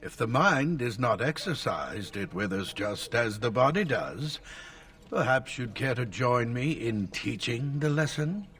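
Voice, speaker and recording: An actor playing a stern, wry old tutor and scholar in a fantasy video game. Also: male voice, mid-range voice, animated, a little slow, a bit bright, a little hiss or hum.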